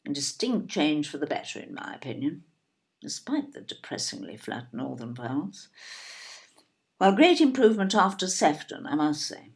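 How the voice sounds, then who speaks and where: A woman's voice: sits low, very animated, normal speed, creaky, heavily acted. A woman playing a snobbish, opinionated older English upper-middle-class wife in an audio play, with a haughty, disapproving, plummy manner. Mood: scornful, opinionated.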